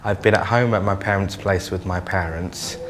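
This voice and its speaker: A man's voice: low voice, fairly even intonation, moderate pace, background noise. A man in his thirties talking casually and hesitantly as an interviewee. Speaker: mental health service user interviewee.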